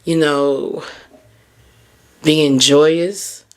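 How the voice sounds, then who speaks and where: A hoarse woman hosting a podcast, talking in a candid, encouraging way and peppering her speech with "like" and "you know". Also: low-pitched, fairly even intonation, drawn-out words. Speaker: podcast host. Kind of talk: casual motivational talk.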